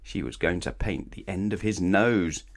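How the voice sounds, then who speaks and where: A man reading a children's storybook aloud in an animated, playful way, speaking straight to young listeners. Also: sits low, moderate pace, creaky. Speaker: storybook reader. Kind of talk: expressive scripted children's story read-aloud.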